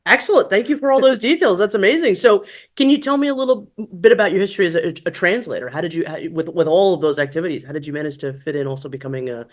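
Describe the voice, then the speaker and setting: A woman's voice: lowish voice, fast, hardly any pauses. A woman hosting a podcast, asking interview questions in an effusive, encouraging way. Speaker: podcast host.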